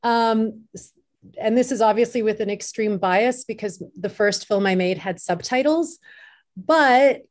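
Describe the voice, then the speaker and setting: A woman's voice: a little high, unhurried, a little mellow. Woman guest in an unscripted panel discussion.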